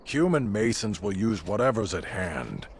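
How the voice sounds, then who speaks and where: An actor playing a proud, plain-spoken stonemason in a fantasy game, giving matter-of-fact advice. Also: male voice, lowish voice, sing-song, moderate pace, a little hiss or hum. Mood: frank.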